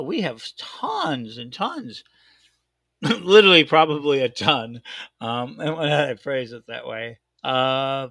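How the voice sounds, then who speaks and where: A man with a thick Chicago accent hosting a casual chat show, talking in an excitable, rambling way and correcting himself often. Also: medium pitch, vocal fry, no echo. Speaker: host. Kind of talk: casual live-stream chat.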